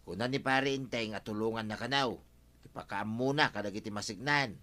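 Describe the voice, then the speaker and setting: A man's voice: fairly low pitch, fairly quick, pushed and bright. A man playing a worried, emphatic villager in a comedy radio drama.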